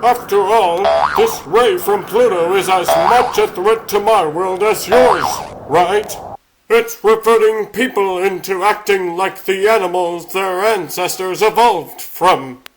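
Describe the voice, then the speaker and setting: A man's voice: high voice, slow, faint music behind, poor audio. A man voicing a plucky, sarcastic little talking rabbit superhero in a cartoon comic dub. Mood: indignant, teasing. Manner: sarcastic, chatty, quipping. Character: wisecracking, plucky three-foot talking rabbit superhero, male.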